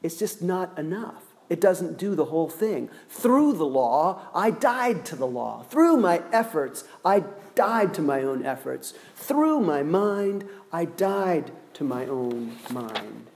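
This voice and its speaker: A man preaching a sermon, slow and deliberate, with dramatic pauses between words. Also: on the high side, big pitch swings, gravelly, darkish tone. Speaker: pastor. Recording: long hall reverb.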